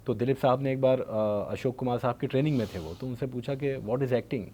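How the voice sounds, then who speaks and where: A man's voice: medium pitch, brisk, a little mellow, slight background noise. A man with an Indian accent hosting a conversational interview, attentive and respectful as he puts his questions. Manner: attentive, respectful, frequent short acknowledgements. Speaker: podcast host, interviewer.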